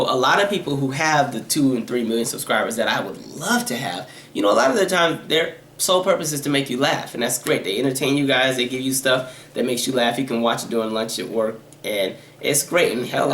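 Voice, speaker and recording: A man with a high, nasal voice, rambling quickly through viewer questions in a casual vlog. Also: fairly bright tone, echoey room. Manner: talks fast and rambles, with many fillers and asides. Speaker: YouTuber answering viewer questions.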